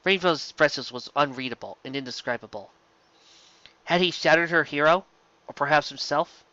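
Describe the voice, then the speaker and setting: A man's voice: fairly high pitch, moderate pace, pushed and bright, dry recording, dull audio. A man narrating a scripted piece of fan fiction as an amateur reader, now and then stumbling over words and rereading them.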